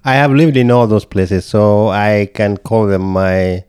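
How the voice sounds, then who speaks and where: A man from Kenya, a podcast guest who chats in a slow, deliberate way with deadpan humor. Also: on the low side, clear, resonant tone. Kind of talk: casual interview.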